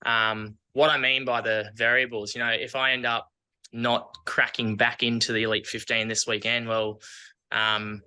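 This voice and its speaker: A man's voice: mid-range voice, flat delivery, moderate pace, sharp tone, clean tone, poor audio. A man with an Australian accent, chatting casually as an athlete and coach being interviewed.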